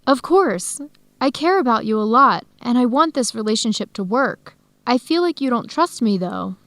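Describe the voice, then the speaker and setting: A woman's voice: a little high, expressive, moderate pace, fairly bright tone, dry recording. A woman acting as a kind, conciliatory young girlfriend in a radio drama, speaking earnestly. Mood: earnest, pleading. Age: young adult. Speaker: actor in an educational radio drama.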